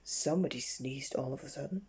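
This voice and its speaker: A reader narrating a children's bedtime story in a halting way, with slips. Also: mid-range voice, darkish tone, husky.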